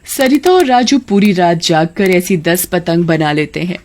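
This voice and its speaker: A woman's voice: fairly low pitch, lively intonation, a little slow, a little mellow, slight background noise. A woman reading scripted narration for a children's moral story as its storyteller.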